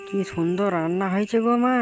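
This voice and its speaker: A woman voicing a cheerful, food-loving village son in an animated story. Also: medium pitch, fast, over music, natural voice. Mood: delighted.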